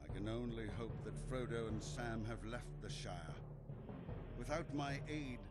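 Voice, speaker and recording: An actor playing a grave old wizard who narrates a fantasy game in a solemn, measured, portentous way. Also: male voice, medium pitch, close to an everyday voice. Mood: worried, brooding. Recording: loud music behind the voice. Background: loud music.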